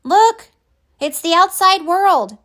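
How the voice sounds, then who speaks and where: A woman reading a picture book, voicing a small, excitable misfit creature. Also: very high-pitched, takes it slowly, pitched above the performer's own voice, heavily acted. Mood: excited. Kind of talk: children's picture book read-aloud.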